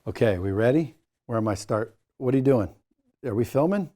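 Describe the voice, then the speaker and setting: Mellow-voiced male sales trainer, emphatic. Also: medium pitch, animated, brisk. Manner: emphatic, jokey asides, coaching tone. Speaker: sales trainer, course instructor. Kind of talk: instructional presentation to camera, direct address.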